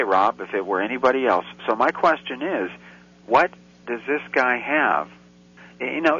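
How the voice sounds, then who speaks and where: A man's voice: fairly high pitch, sharp tone. A man talking as a guest on a radio show, rambling and getting indignant, on a dull, faintly hissy recording. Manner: rambling, grows heated and indignant. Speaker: guest commentator. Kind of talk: casual radio chat. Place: Central Europe.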